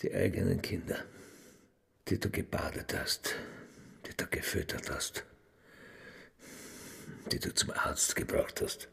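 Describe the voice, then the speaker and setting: Gravelly, deep old father. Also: sing-song, a little slow, long pauses, bright, forceful tone, full character voice. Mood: bitter, wounded. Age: older. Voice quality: gravelly, husky. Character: bitter, wounded old father betrayed by his children. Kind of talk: radio play acting.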